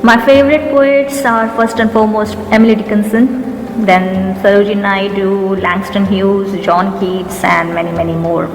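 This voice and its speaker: A woman's voice: mid-range voice, level tone. Indian woman, mid-range voice, English teacher and poet, earnest recital; long reverb, music bed. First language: Urdu. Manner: measured, earnest, slows down for verse lines. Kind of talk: poetry recitation with commentary.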